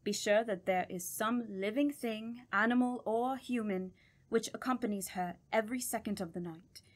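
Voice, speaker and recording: A woman playing a grave, scholarly doctor of the supernatural in an audio drama, with a formal, deliberate and ominous manner. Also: on the high side, lightly acted. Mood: grave warning.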